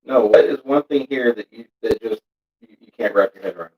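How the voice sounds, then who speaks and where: A man's voice: mid-range voice, brisk, phone-quality sound. A young man with a Texan accent, chatting casually on a vlog in a teasing, laid-back way. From Texas. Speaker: vlogger. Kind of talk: casual chat.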